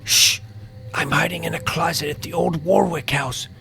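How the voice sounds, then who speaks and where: A man's voice: fairly high pitch, normal speed, creaky, pitched above the performer's own voice. Man playing an anxious young boy vampire hunter, noisy recording. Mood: anxious, urgent. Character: anxious twelve-year-old boy vampire hunter, earnest and dramatic.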